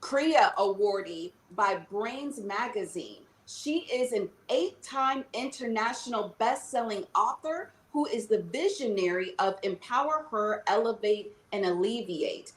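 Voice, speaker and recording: A woman's voice: mid-range voice, animated, unhurried, few pauses, roomy sound, rough recording. A woman hosting a podcast interview, bubbly and upbeat, often saying "okay" and "y'all". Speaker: podcast host.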